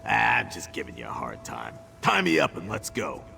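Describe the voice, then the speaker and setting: A brawny orc brawler with a gravelly, bright, forceful voice that swings widely in pitch. Coarse and teasing, he boasts about himself in a video game scene. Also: big pitch swings, moderate pace, soft background music, exaggerated voice. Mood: gruff. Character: gruff boastful male orc ally.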